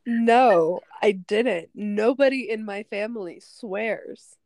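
A woman in her twenties, a podcast guest in casual chat, who speaks calmly and fills her speech with "like". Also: mid-range voice, slow, no room sound. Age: 20s.

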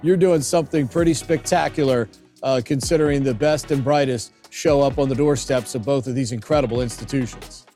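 A man's voice: medium pitch, brisk, dark tone, soft background music. An American man from Maryland, a sports broadcaster giving conversational analysis as an interview guest. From Maryland, United States.

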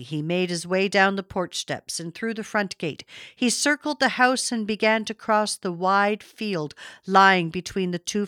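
A woman narrating a literary story as an audiobook reader, with measured, deliberate and expressive phrasing. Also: mid-range voice, no echo, studio quality.

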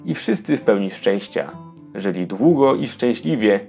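A man's voice: on the low side, expressive, normal speed, creaky, a little mellow, soft background music. A man narrating a scripted children's fairy tale as a storyteller.

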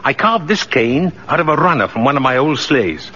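An actor playing a kindly, genial old Santa Claus in a 1940s radio drama, unhurried and gently probing. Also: male voice, medium pitch, big pitch swings, husky, full character voice. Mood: genial, matter-of-fact. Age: older. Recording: muffled, hissy old radio recording.

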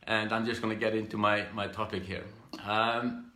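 A middle-aged man from Iceland with an Icelandic accent gives an informal live talk about his own past, speaking haltingly with repeated words and restarts. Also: on the low side, level tone, sharp tone, roomy sound. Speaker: speaker giving a business training talk.

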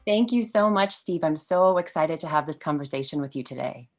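A woman's voice: low-pitched, animated, fairly quick, soft background music. A woman whose first language is Hungarian, a language education trainer explaining her experience in an enthusiastic, articulate way as a podcast guest. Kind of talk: conversational podcast interview.

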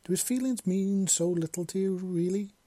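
A man plays a female machine in a sci-fi storybook. She is sarcastic, scolding and protective, pitched a bit up, with a dry, close sound. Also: moderate pace, warm tone, no room sound. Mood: angry, sarcastic.

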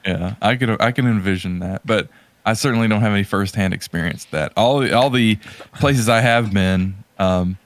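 A man's voice: fairly low pitch, normal speed, no room sound. A man in casual chat as a podcast guest, rambling and slangy with a jokingly exasperated manner.

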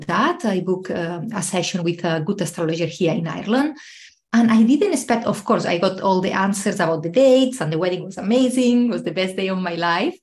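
A woman speaks as a podcast guest at an ordinary pitch. She tells her story in a chatty, open way and laughs easily between phrases. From Spain. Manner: warm storytelling, laughs often. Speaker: guest, travel coach. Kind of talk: podcast interview.

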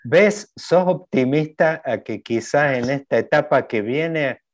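A man's voice: mid-range voice, lively intonation, slow pace. An Argentine man with an Argentine Spanish accent, interviewing a guest and building long questions with hesitant fillers. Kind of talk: interview conversation. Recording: dull lo-fi sound.

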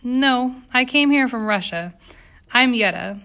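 A woman's voice: fairly high pitch, animated, measured pace, sharp tone, voice pushed up, heavily acted. A woman voicing an earnest, determined young immigrant factory girl in an audiobook, with precise English. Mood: polite.

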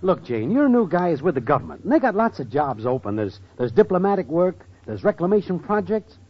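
Cocky, scheming American boyfriend: swooping slangy patter, vintage radio comedy, muffled hiss. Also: male voice, sits high, sing-song, brisk, husky, heavily acted. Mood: wheedling. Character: scheming, broke, fast-talking male sitcom boyfriend. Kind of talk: 1940s-50s radio comedy acting. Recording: dull, noisy old radio recording.

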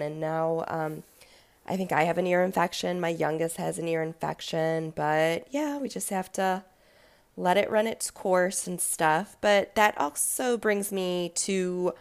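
A woman in her thirties hosting a casual solo talk, sounding congested and nasal from a sinus infection, speaking candidly with frequent pauses and ums. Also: lowish voice. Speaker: podcast host, yoga and fitness instructor.

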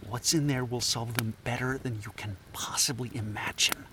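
An actor playing an earnest captive anthropologist, speaking haltingly and persuasively in an audio drama. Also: male voice, mid-range voice, fairly even intonation, pushed and bright, slight background noise, close to an everyday voice. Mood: nervous, persuasive. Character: earnest scatterbrained male anthropologist, captive and pleading.

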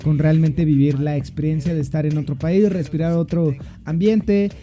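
A man's voice: fairly high pitch, steady tone, moderate pace, warm tone. A young man with a Mexico City accent hosting a podcast, joking around in loose, slangy casual chat, a bit tipsy. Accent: Mexico City Spanish. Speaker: podcast host.

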